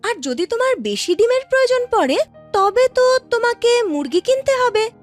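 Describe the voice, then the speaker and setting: A woman's voice: extremely high pitch, big pitch swings, moderate pace, soft background music, voice pushed up. A woman voicing an earnest young street seller in a village cartoon, polite and eager to explain.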